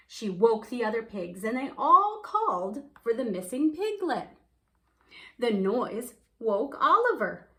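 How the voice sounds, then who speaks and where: A woman's voice: a little high, fairly bright tone. A woman reading a picture book aloud, telling the story expressively and stretching words for emphasis. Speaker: children's storybook reader.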